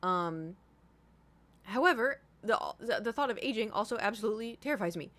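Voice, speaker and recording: A woman's voice: a little high, animated, brisk, a bit bright. A woman in her thirties chatting casually to livestream viewers, rambling informally, swearing freely and repeating herself for emphasis. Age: 30s. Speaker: livestream host.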